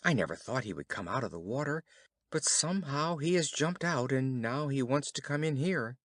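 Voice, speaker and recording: A man voicing a spoiled, disdainful young princess in a storybook, with expressive phrasing. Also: medium pitch, moderate pace, no room sound, studio quality. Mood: anxious.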